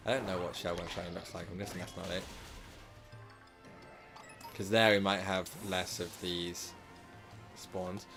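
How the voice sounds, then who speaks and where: A man with a slightly sore, hoarse throat, casually commenting on his live gameplay stream and rambling with lots of filler words and self-corrections. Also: sits low.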